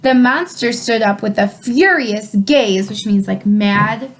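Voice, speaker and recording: A woman reading a children's storybook aloud as a co-reader, in an expressive storytelling style. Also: mid-range voice, slow, slight background noise, rough recording.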